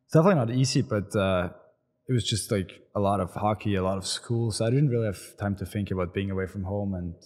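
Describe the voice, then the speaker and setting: A man in his twenties with an Austrian German accent, a pro hockey player answering interview questions in a halting way, full of fillers and restarts. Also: lowish voice, a little mellow. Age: 20s. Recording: long reverb, echoey room.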